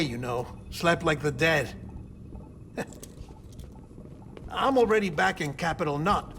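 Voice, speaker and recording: An actor playing a calm, talkative artificial man built from cadaver parts, speaking in a measured, confiding way in a game cutscene. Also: fairly high pitch, slight background noise. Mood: gentle. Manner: measured, slow, confiding delivery. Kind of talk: video game cutscene acting. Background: game score music.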